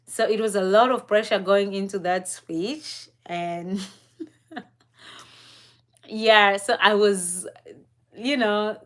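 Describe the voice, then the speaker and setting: A young woman from East Africa speaks at a very slow, deliberate pace. Her middle-pitched voice drifts through a personal story, pausing on fillers and breaking into soft laughs. She sounds casual and thoughtful, like a live stream host talking straight to her viewers. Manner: reflective, unhurried, with frequent fillers and soft laughs.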